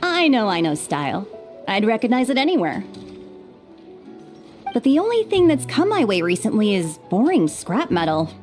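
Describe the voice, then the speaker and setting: A woman's voice: fairly high pitch, very animated, moderate pace, long pauses, darkish tone, soft background music. A woman voicing a chatty, friendly tinkerer who deals in scrap metal in a video game.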